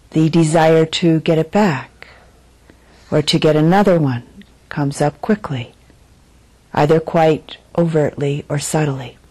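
A woman teaching meditation, speaking in measured, deliberate phrases with frequent pauses as she guides a reflection. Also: low-pitched, dark tone, poor audio. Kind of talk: guided meditation, dharma talk.

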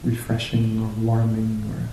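A man teaching meditation to a group, speaking reflectively and off the cuff, with hesitant pauses between short phrases. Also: completely flat delivery, gravelly, warm tone. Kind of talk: guided meditation talk, unscripted and reflective. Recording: roomy echo, faint noise with low rumble.

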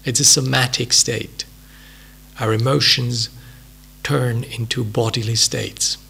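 A man with a Swiss accent giving an unhurried, reflective talk as a meditation teacher, with many pauses and fillers. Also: mid-range voice, fairly even intonation, vocal fry, pushed and bright, husky. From Switzerland. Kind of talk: dharma talk at a retreat.

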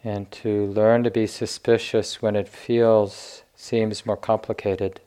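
A man teaching meditation, giving gentle guided instruction in short phrases with long pauses. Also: fairly low pitch, level tone.

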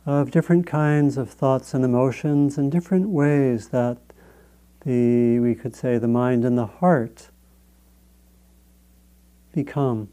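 A man leads a guided meditation in a soft-edged, relaxed voice of medium pitch. He speaks very slowly and gently, leaving long pauses between short phrases as he gives instructions as a teacher. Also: clear, resonant tone.

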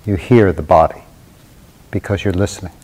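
A man with a slightly low voice guides a meditation. He speaks in short, unhurried phrases and leaves long silences between them. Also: a little mellow. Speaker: meditation teacher.

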